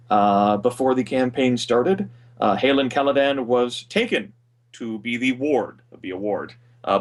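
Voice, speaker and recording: A man with a booming voice recapping a tabletop game session over a voice call, rambling with many fillers. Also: distant mic. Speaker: game master.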